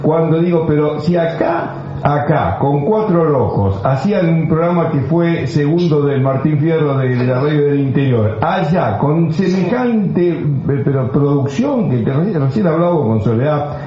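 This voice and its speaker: An effusive Argentine man hosts a radio chat in a rambling, halting way. His voice sits a little high. The recording is heavily echoey and dull, with faint noise. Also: lively intonation. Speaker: radio show host. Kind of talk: casual radio interview chat.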